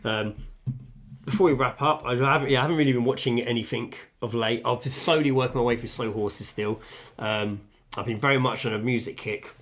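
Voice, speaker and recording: Sweary animated podcast co-host, man. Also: mid-range voice, a little fast. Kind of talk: casual chat, reading out stories. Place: home kitchen.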